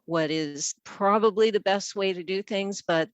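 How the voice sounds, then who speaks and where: A woman's voice: medium pitch, unhurried, smooth, dry recording. An older woman presenting casually on an online call as a guest consultant, with an informal, rambling way of talking. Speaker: featured guest, packaging engineer and consultant.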